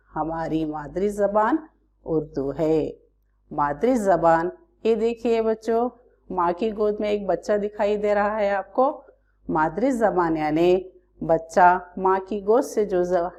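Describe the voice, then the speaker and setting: A woman's voice: fairly low pitch, darkish tone. A woman teacher from India giving an online class, asking pupils questions and explaining carefully.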